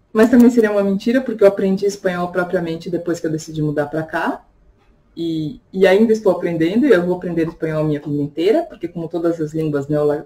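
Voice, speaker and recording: A woman's voice: medium pitch, dark tone. Chatty Brazilian-born woman vlogging. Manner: chatty, reflective, frequent tag questions. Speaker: vlogger and tarot reader. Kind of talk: casual personal vlog monologue.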